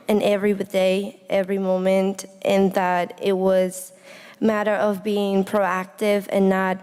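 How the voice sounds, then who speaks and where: A woman's voice: mid-range voice, a little mellow, smooth. Young woman speaking in an echoey hall. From Honduras. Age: young adult. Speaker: student speaker. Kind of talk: personal reflective speech to an audience. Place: indoor hall, speaking to an audience. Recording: echoey hall with long reverb.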